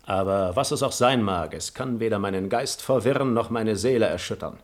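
A man's voice: lowish voice, moderate pace, lightly acted. A man playing a proud, cynical nobleman and hypocrite in a German radio play, eloquent and declamatory. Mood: scornful.

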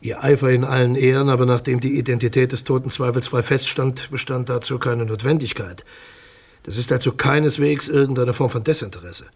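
Husky, officious male prosecutor. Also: medium pitch, fairly even intonation, fairly quick. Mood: dismissive. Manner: formal, defensive, condescending. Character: dismissive, formal male public prosecutor, guarded and officious. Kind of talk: German radio crime drama dialogue.

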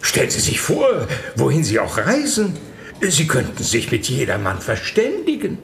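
A man's voice: on the high side, huge pitch swings, normal speed, vocal fry, slight background noise, full character voice. A man playing an obsequious, flustered German archbishop in a radio play, hesitant and stammering as he tries to please. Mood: eager, flustered. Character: flustered, eager-to-please German archbishop entertaining a noble guest. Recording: roomy studio sound, music behind.

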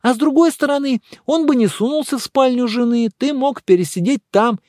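A man voicing an excitable, nosy male friend in an audiobook, exclamatory and theatrically indignant. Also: very high voice, a little fast, no echo, studio quality, pitched above the performer's own voice. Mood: excited. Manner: exclamatory, emphatic, swooping.

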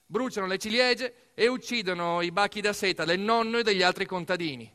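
A man performs a dramatic storytelling monologue for radio theatre, emphatic and full of deliberate pauses. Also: sits high, sharp tone. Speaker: actor-narrator of a theatrical monologue.

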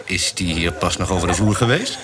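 An actor playing a roguish, weary traveller, chuckling and insistent, in a Dutch radio play. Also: male voice, low voice, lively intonation, fairly quick. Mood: amused, evasive. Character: roguish, weary male traveller hunting for someone. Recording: loud tavern crowd behind dialogue.

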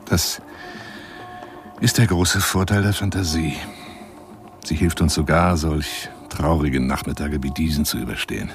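A man's voice: extremely low pitch, unhurried, pauses often, over music. An actor playing a boastful, lonely male adventurer who courts a woman in florid, courtly speech in a German radio play monologue. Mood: dreamy, courtly. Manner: florid, courtly, insistent, swinging between whisper and exclamation.

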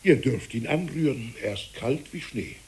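An actor playing a gentle, fearful, whimsical middle-aged village pastor in a 1960s radio play, musing and deliberately phrased. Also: male voice, medium pitch, lively intonation, close to an everyday voice. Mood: lively, inviting. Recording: hissy 1960s radio recording.

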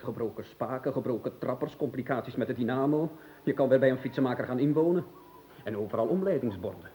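An actor playing an excitable, gloomy musician in an absurd comic radio play, complaining theatrically and at wordy length. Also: male voice, medium pitch, slight background noise, close to an everyday voice, poor audio. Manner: theatrical, verbose, plaintive complaining.

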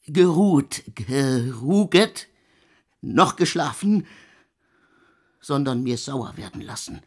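Gequälter, heiserer Beichtender im Hörspiel. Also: male voice, a little high, a little mellow, breathy edge, full character voice. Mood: anguished. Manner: solemn, archaic, halting confession. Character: tormented composer confessing his sins in archaic German. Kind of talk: radio play monologue.

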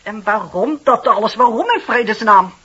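An actress playing a stiff, haughty, indignant older office worker with a husky, rough voice in a radio play. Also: female voice, fairly high pitch, animated, normal speed, fairly bright tone. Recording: dull old radio play recording.

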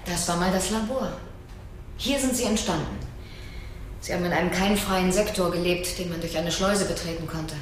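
An actress playing an earnest, defensive scientist, explaining insistently in a radio drama. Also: female voice, fairly low pitch, normal speed, a little hiss or hum, natural voice. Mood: matter-of-fact. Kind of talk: German radio drama acting.

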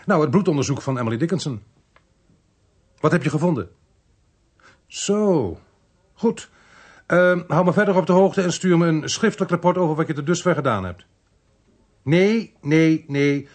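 An actor playing a brisk, dry, authoritative police inspector in a radio play, speaking Netherlands Dutch in a curt, wry, commanding manner. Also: male voice, on the high side, close to an everyday voice. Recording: noisy archive radio play recording.

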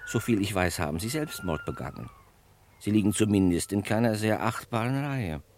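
A man's voice: on the low side, huge pitch swings, normal speed. An actor playing an officious, rule-bound cemetery watchman in an old German radio play, pedantic and stiffly polite. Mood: officious, impatient. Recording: old radio recording, faint noise.

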